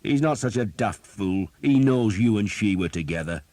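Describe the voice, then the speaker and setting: A man voicing a blunt, foul-mouthed male archer captain who shouts and curses, in a dry, close recording. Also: lowish voice, animated, moderate pace, no room sound, pitched above the performer's own voice, lightly acted. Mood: gruff. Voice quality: shouting, cursing.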